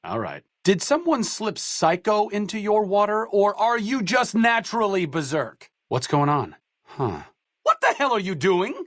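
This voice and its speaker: A man's voice: high voice, big pitch swings, a little slow, fairly bright tone, no room sound, heavily acted. A man playing a wry, bookish doctor companion in a post-apocalyptic video game, with dry, sardonic and articulate delivery. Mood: casual, wry, then annoyed, sarcastic.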